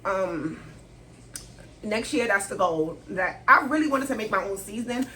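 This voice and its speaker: A woman's voice: mid-range voice, expressive, normal speed, bright, forceful tone, poor audio. A candid woman. She keeps it loose and slangy, laughing as she answers questions at home. A faint hiss of room noise sits behind her. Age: 30s. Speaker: vlogger. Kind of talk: casual sit-down Q&A vlog. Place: indoors at home, sit-down setting.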